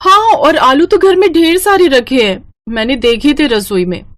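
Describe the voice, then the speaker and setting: A woman voicing an exasperated but dutiful young daughter-in-law in an animated story, with an emphatic, complaining manner. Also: high voice, moderate pace, voice pushed up, close to an everyday voice. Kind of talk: animated moral-story cartoon voice acting.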